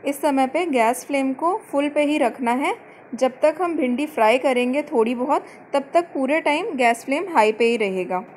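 A woman giving calm step-by-step cooking instructions as the host of a home recipe channel, mixing English words into her Hindi. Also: high-pitched, normal speed, a little hiss or hum. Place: home kitchen.

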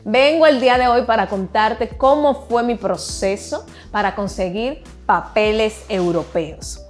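Young woman, from the Dominican Republic, chatty storyteller, slightly high voice, faint background music. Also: measured pace. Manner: chatty, laughing, acts out quoted voices. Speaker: team member telling her migration story. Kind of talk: casual storytelling vlog.

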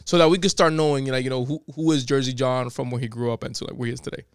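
A man's voice: medium pitch, steady tone, very fast. A man co-hosting a podcast, talkative and hyping things up, often repeating himself. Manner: talkative, hypes the show, restates himself. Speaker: podcast co-host. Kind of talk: casual podcast chat. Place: small podcast studio indoors. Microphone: boom mic on arm.

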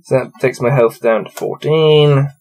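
A man's voice: medium pitch, steady tone, unhurried, darkish tone. A young man in his twenties reading a fantasy gamebook aloud at his desk and chatting through his dice rolls with amused laughs. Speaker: reader playing a gamebook. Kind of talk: casual read-aloud with dice commentary. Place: small cluttered room with shelves, indoors.

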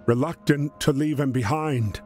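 A man's voice: fairly high pitch, normal speed, a little mellow. A man giving solemn, scripted storybook narration for a war story game. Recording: music behind the voice. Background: music.

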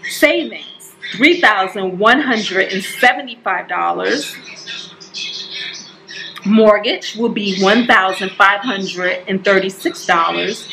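A woman in her forties, talking casually to camera at home about her monthly budget, chatty and expressive, calling her viewers y'all. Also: medium pitch, vocal fry, noisy background. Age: 40s. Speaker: budgeting vlogger. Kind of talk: casual direct-to-camera talk. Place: home staircase, indoors. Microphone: camera at close range.

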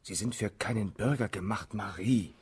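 An actor in a German radio drama playing an amorous young baron, scornful and coaxing, with a husky voice. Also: male voice, fairly low pitch, normal speed, darkish tone. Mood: indignant, coaxing.

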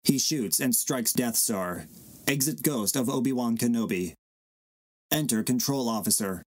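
A man's voice: medium pitch, unhurried. A man reading terse scripted stage directions as narrator of a dramatic reading.

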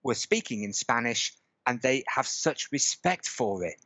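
A man's voice: medium pitch, animated, measured pace, bright, forceful tone, dry recording. A man in his fifties, a guest on a video call from a room at home, telling a story casually and checking in often with "okay?" and "right?". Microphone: headset on a video call.

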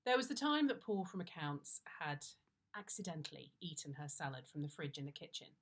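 British female storyteller, middle-aged, slightly low voice, dry confiding comic delivery. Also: brisk, breathy edge. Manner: wry, confiding, expressive timing. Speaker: storyteller, actress reading a story. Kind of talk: first-person comic story reading, conversational. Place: indoors, plain-walled room.

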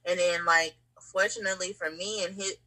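A woman's voice: lowish voice, steady tone, fairly quick, bright, forceful tone, poor audio. A woman speaking casually and candidly as a podcast guest, often checking that the listener follows. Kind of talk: casual, candid interview conversation.

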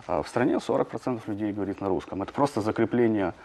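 A man, a journalist, answering in a studio interview, talking quickly with many hesitations and fillers. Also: fairly low pitch, darkish tone. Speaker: journalist guest.

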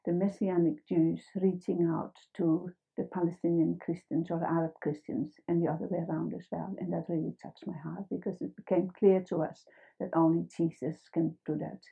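Older woman, gravelly, thin-sounding. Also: on the low side, level tone, moderate pace, narrow, tinny audio. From Netherlands. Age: 70s. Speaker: interviewee. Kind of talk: interview answers. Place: outdoors on a terrace by a lake.

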